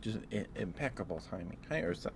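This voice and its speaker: A man's voice: on the low side, lively intonation, quick pace, background noise. A man streaming ASMR, chatting casually and playfully to his live viewers in a rambling way.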